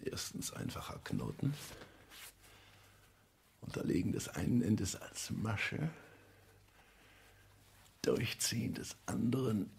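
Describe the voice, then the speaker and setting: An actor in a 1960s German radio play, playing a calculating social climber with formal, measured, earnest declamation. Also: male voice, on the low side, sing-song, creaky, darkish tone, a little hiss or hum, heavily acted. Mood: muttering, self-absorbed. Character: calculating male social climber, fawningly solemn and ambitious.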